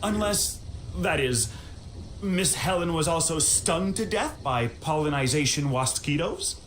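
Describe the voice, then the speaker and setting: An overly cheerful company orchard worker, folksy and eager in his patter. His voice sits on the higher side and swings up and down a lot. There is a faint noise behind him. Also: lively intonation, measured pace, fairly bright tone. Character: chirpy male corporate orchard worker.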